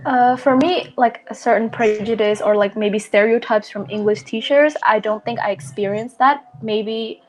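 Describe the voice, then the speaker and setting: A woman's voice: fairly high pitch, steady tone, normal speed, hardly any pauses, rough recording. Chatty Indonesian teen girl. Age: teens. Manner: rambling, many fillers and restarts. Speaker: guest. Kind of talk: casual podcast chat.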